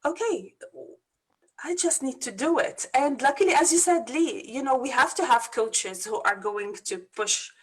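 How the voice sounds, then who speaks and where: A woman's voice: high-pitched, expressive, moderate pace, a bit bright, husky. A woman from Lebanon with a Lebanese accent, a business coach speaking earnestly and energetically as a guest in a video-call interview.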